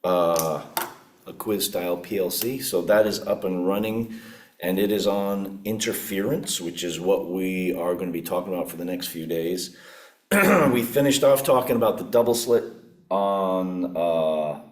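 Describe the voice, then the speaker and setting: A middle-aged man giving a physics lecture at a whiteboard, his voice husky and croaky, often clearing his throat, talking unhurriedly with pauses. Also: low-pitched, darkish tone. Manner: unhurried, pausing with fillers. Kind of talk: classroom lecture. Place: classroom at a whiteboard. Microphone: room camera at a distance. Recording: echoey classroom from far off.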